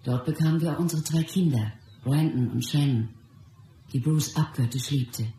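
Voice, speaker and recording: An actress playing a wife who recalls life with her husband in a measured, composed radio drama narration. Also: deep voice, warm tone, reverberant, slight background noise. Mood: reflective. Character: Bruce Lee's wife, reflective woman recalling their life.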